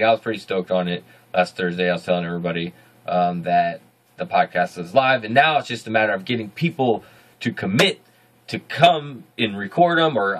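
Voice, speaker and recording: A man in his thirties with a deep voice, hosting a casual video diary from a small home studio, rambling and correcting himself as he goes. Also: a bit bright. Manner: rambling, self-correcting, upbeat. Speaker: video journal host.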